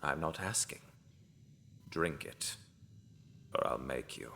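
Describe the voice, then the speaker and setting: A man playing a mysterious, grim healer in an audio drama, commanding yet consoling, with measured, ominous, formal phrasing. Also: a bass voice, animated, vocal fry, pushed and bright, exaggerated voice. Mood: stern.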